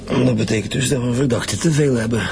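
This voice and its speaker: An actor playing a steady, authoritative police chief inspector in a radio drama, speaking naturally with a Flemish accent. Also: male voice, mid-range voice, quick pace, gravelly, heavy background noise, natural voice. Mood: brisk. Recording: music behind the dialogue.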